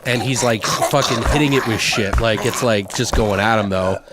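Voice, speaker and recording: A man co-hosting a podcast, casually brainstorming a comedic horror story in a crude, animated, sweary way. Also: lowish voice, normal speed, heavy background noise. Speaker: podcast co-host.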